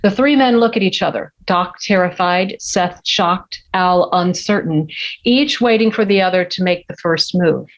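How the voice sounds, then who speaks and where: A woman's voice: fairly low pitch, unhurried, highs cut off. A woman reading stage directions as the narrator of a scripted screenplay table read.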